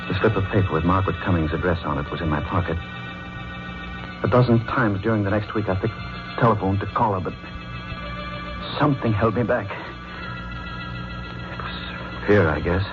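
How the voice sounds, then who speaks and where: An actor playing a guilt-ridden, middle-aged married man with an American accent, speaking in a measured, confiding 1940s radio style. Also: low voice, over music, close to an everyday voice. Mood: brooding, confessional. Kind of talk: 1940s radio mystery acting, first-person narration and dialogue. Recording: muffled vintage radio broadcast.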